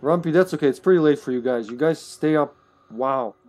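Brisk man calling shots over headset. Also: on the high side, a little mellow, faint music behind. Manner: brisk, directing teammates, swears. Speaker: streamer. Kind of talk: casual gaming chat. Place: home room.

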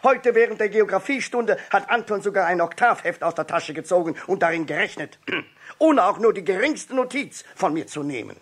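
A man playing a stern but kindly schoolteacher in a 1960s German radio play, deliberate and emphatic, on a noisy old record. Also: high-pitched, animated, a bit bright, husky, full character voice. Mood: stern, indignant.

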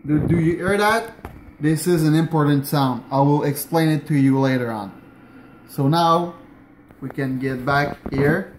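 Man in his thirties, slow relaxed explaining, slightly high voice, echoey kitchen, faint background hum. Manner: chatty, hesitant with fillers and restarts, moving about while working. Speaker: home coffee demonstrator. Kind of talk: casual how-to demonstration. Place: home kitchen indoors at night. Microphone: camera on the counter at a distance.

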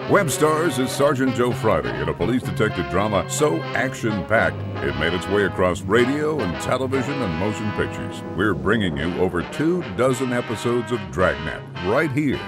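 A man's voice: lowish voice, sing-song, normal speed, few pauses. A man with an American accent reading an advertising voice-over as a promo announcer. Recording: voice over loud music.

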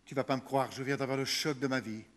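A man's voice: mid-range voice, fairly even intonation, quick pace, lightly acted. A man playing a flustered middle-aged man gabbling his excuses on stage, heard from far off in a reverberant hall. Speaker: stage actor. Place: theatre stage.

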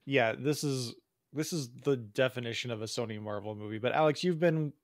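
A man's voice: mid-range voice, fairly quick, dry recording. Podcast guy retelling stuff, swears a lot, dry mic. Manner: animated, swearing, laughing storyteller. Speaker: podcast co-host. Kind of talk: casual chat.

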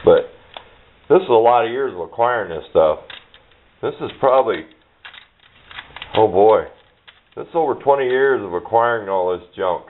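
A man's voice: lowish voice, lively intonation, moderate pace, pauses often. A middle-aged man giving a casual, rambling show-and-tell in an offhand, folksy way, recorded on a handheld camera's built-in microphone. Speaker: hobbyist showing a parts collection. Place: indoor workbench. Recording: muffled phone-like sound with hiss, clicks.

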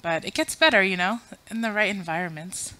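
A woman's voice: mid-range voice, fairly quick, sharp tone, low-quality recording. A woman in her thirties, originally from Afghanistan, giving a chatty, self-deprecating conference talk to a room full of people and running audience polls. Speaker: conference speaker. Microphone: podium mic.

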